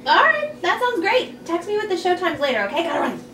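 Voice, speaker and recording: A woman's voice: very high voice, big pitch swings, fast. A woman reading a breezy, teasing suburban friend in a comedy script table read. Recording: echoey room, distant mic, clear noise.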